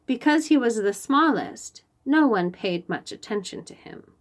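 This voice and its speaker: A woman reading a storybook aloud for young children, expressive and deliberate, stressing key phrases. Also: fairly high pitch.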